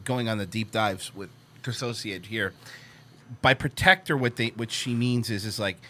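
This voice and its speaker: A man's voice: fairly low pitch, normal speed, a bit bright. A man co-hosting an online show, offering casual joking commentary with wry, sardonic asides.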